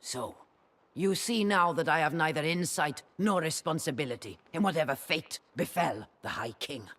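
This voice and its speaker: A woman playing a centuries-old reclusive sorceress crone in a video game, raspy and husky, theatrical and deliberate, haughty and brooding. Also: low voice, lively intonation, fairly bright tone. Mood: weary, defensive. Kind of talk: dramatic video game acting. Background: background music.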